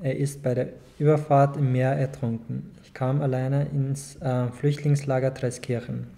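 Young guy from afghanistan, dari native, reads his text slow and steady, mellow dark voice, table mic in a small room. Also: medium pitch. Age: 20s. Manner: calm, measured, steady reading. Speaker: guest author. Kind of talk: reading his own text aloud at a public event. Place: small club room indoors, seated at a panel table. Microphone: table mic on a short stand.

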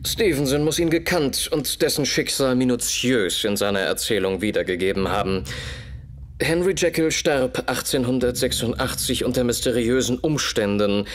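An actor playing a brilliant, grave Victorian detective in a German radio play, explaining a case in a deliberate, measured way. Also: male voice, medium pitch, a little hiss or hum, close to an everyday voice. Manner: deliberate, measured, expository, with dramatic repetitions.

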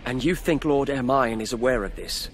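An actor playing an earnest young noble lord and calm leader, formal and commanding in a video game drama. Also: male voice, mid-range voice, normal speed, slight background noise, natural voice. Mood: urgent, steadying.

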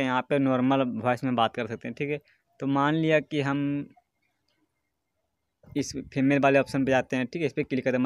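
A man's voice: medium pitch, clear, resonant tone, no echo, clean studio sound. A man presenting a casual step-by-step tutorial as host, often adding a short check-in tag. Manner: repeatedly checks in with a tag phrase.

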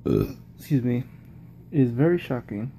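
A man's voice: mid-range voice, huge pitch swings, slow, gravelly, mellow, slight background noise. A man giving casual, rambling post-game football commentary, often repeating himself and restarting sentences. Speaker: football vlogger.

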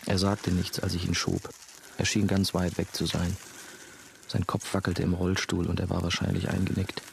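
A man's voice: low-pitched, monotone, moderate pace, vocal fry, a little hiss or hum. An actor playing a brooding former novice who narrates his story in a reflective radio drama style. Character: brooding male ex-novice, nursing-home worker, telling his story. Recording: music behind the voice.

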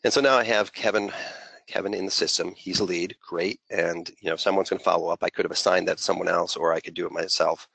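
Very low-pitched, creaky-voiced man presenting. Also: fairly even intonation, fast talker, fairly bright tone, dry recording, low-quality recording. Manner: conversational, heavy use of "you know" as filler. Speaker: webinar presenter, software consultant. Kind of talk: unscripted software walkthrough.

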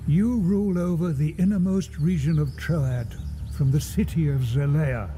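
A man narrating an ancient war saga for a strategy game in a grave, measured tone. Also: a little high, warm tone, a little hiss or hum, close to an everyday voice. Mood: solemn. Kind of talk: scripted epic narration.

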